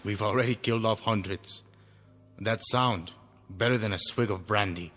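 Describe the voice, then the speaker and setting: An actor playing a cold-blooded, terse rebel soldier who relishes executions in a mid-century television drama. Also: male voice, on the low side, normal speed, a bit bright, big-room sound, slight background noise, close to an everyday voice. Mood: callous.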